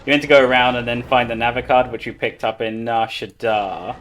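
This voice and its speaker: A man's voice: medium pitch, steady tone, fairly quick, fairly bright tone, a little hiss or hum. A man chatting casually and explaining his play live as he speedruns a video game. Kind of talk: live explanation while playing.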